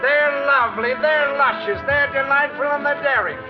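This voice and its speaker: An actor playing a brassy carnival barker with loud, chanting patter, in 1940s radio drama style. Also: male voice, extremely high pitch, normal speed, music in the background. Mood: hawking. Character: brassy male carnival barker outside a girlie show. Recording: muffled old radio recording.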